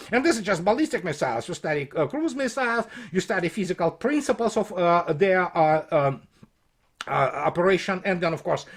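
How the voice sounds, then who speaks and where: A man with a noticeably high-pitched voice delivers off-the-cuff commentary as a military pundit. He is scornful and emphatic. His delivery is halting, full of hesitations and repeated words as he picks apart what he discusses. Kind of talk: unscripted opinionated commentary, quoting and critiquing a report.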